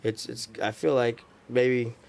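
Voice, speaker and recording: A man's voice: mid-range voice, little pitch movement, a little slow, vocal fry, a little mellow. An American man chatting casually as a podcast guest, with slangy, emphatic talk. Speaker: DJ and recording engineer, podcast guest. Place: small room with couches, indoors.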